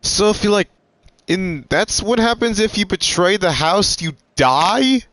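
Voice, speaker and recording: A man's voice: high-pitched, lively intonation, a little slow, a bit bright. A man giving casual, exclamatory gameplay reactions, groping for words as he goes. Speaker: gamer playing through a story quest.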